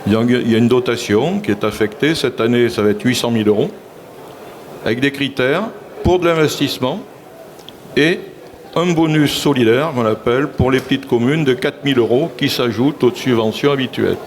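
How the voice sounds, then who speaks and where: A man's voice: mid-range voice, a little fast, slight background noise. A man, a local elected official, speaking assertively in a public panel talk and often saying "si vous voulez".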